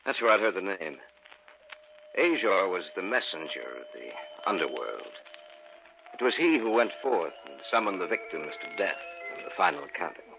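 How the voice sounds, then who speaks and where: An actor playing a grave male friend recounting a sinister legend in measured tones, in an old radio play. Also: sits low, very animated. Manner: measured, ominous storytelling. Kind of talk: 1940s radio acting. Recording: muffled old radio broadcast with music.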